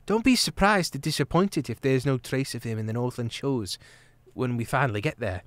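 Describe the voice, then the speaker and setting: A man's voice: expressive, brisk, no echo, lightly acted. A man voicing a curious, anxious young female hedgehog in an audiobook reading. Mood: earnest. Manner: high, questioning, worried.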